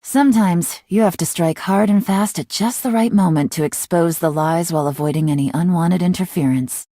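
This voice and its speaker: An actress playing a mysterious, calculating young female intelligence agent in a video game, with a measured, teasing and self-assured delivery. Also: on the low side, warm tone, no echo, studio quality. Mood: serious, assured. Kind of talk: scripted video game character voice lines.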